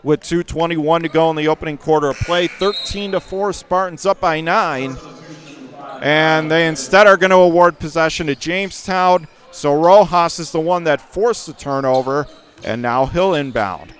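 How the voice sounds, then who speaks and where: A man calling live radio basketball play-by-play in clipped phrases that can burst into excited calls. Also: fairly high pitch, moderate pace. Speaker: play-by-play announcer. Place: courtside in a high school gym during a game.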